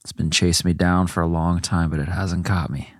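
A man's voice: low voice, steady tone, brisk, dry recording. A man co-hosting a casual podcast, giving dry, wry short replies. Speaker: podcast co-host. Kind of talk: casual chat.

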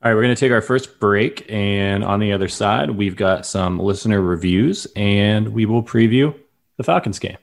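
A man's voice: on the low side, normal speed, vocal fry. A man hosting a sports podcast in a casual, conversational way, steering the talk and reading listener mail. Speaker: podcast host. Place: lives in Chicago.

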